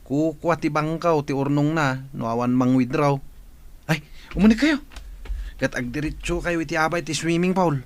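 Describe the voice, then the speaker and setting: A man's voice: on the high side, normal speed, lightly acted. A man playing a chatty, respectful mansion servant in an Ilocano radio drama, with an Ilocano accent. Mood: welcoming, chatty.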